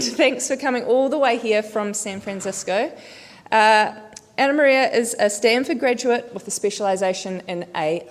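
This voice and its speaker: A woman introduces the keynote speaker from a conference stage, in a friendly, loose manner with fillers. Her pitch is average. The sound carries the long reverb of a large hall.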